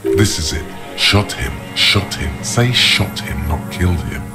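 Casting: a detective's inner voice, extremely low, measured and literary game narration over music. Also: male voice, animated. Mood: urging. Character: grave, observant inner voice narrating a detective's thoughts. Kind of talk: dramatic second-person game narration. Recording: music under the voice. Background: background music.

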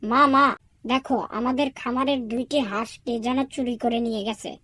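A woman's voice: on the high side, fairly even intonation, normal speed, a bit bright, no echo. A woman voicing an earnest, dutiful young male fox in a cartoon, polite and eager in manner. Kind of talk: cartoon dubbing.

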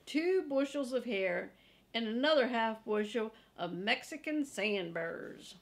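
A woman's voice: on the high side, animated, takes it slowly. A woman reading a storybook as a boastful, grumbling male guard dog, speaking in a folksy, emphatic manner. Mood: proud, folksy.

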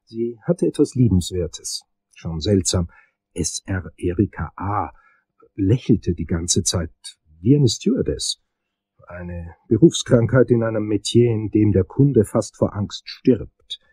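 An actor playing a wry, anxious male investigator who narrates a crime radio play in a deliberate, sardonic way. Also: fairly low pitch, mellow, slightly rough, no room sound, poor audio. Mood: sardonic observation.

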